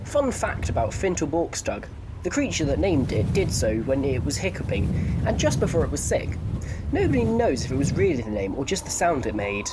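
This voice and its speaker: A man's voice: fairly high pitch, huge pitch swings, brisk, very noisy. A man narrating a scripted story for an audio drama in a wry, playful storytelling manner.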